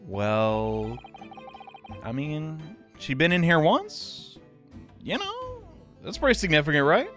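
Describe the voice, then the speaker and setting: A man's voice: fairly high pitch, very animated, takes it slowly, pauses often, fairly bright tone, faint music behind. A man giving casual let's play commentary, rambling and self-deprecating while reading game text aloud.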